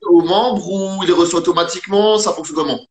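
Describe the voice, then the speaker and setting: Chatty host in his thirties, joking questions, a bit high, roomy sound. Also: brisk, crowd noise. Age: 30s. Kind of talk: casual video call interview. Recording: roomy echo.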